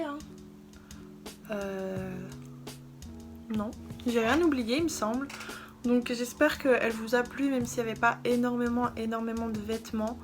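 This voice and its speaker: Gushing young woman beauty vlogger. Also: fairly high pitch. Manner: gushing, chatty, enthusiastic. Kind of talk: casual haul video chat. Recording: music playing behind. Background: background music.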